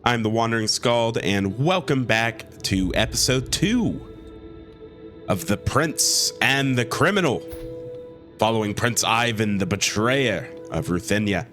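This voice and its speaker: A man's voice: fairly low pitch, animated, unhurried, music in the background. A man hosting a strategy game playthrough, sick with a cold and talking in an easygoing way full of fillers. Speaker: let's play host. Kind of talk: gameplay commentary.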